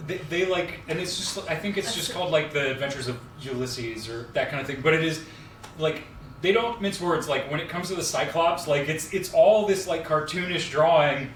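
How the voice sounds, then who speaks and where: A man's voice: fairly high pitch, moderate pace. Man in a roomy, noisy space. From Louisiana, USA. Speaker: guest on a charity livestream. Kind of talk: casual chat. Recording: roomy, distant mic with clear background noise.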